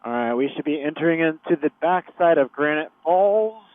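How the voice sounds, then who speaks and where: A man's voice: on the high side, expressive, normal speed. A man chatting casually as he rides, heard through a motorcycle helmet intercom. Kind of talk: casual chat while riding. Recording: dry, tinny helmet intercom radio.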